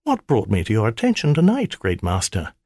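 A cheeky male spirit in the guise of a flirtatious maiden, as a man puts her on in a high falsetto-ish lilt. The voice swoops and dances through a lively range, coy and wheedling, with a dry close sound. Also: brisk, no room sound, exaggerated voice. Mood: fawning. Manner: coy, wheedling, mock-sweet. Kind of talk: audiobook character voice.

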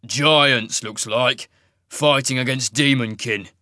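A man growling as a rasping, scarred warrior. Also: medium pitch, bright, forceful tone, dry recording, clean studio sound. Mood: snarling. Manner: slow, snarling, coarse. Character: fire-scarred surly male warrior, grim and spiteful. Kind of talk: audiobook character voice.

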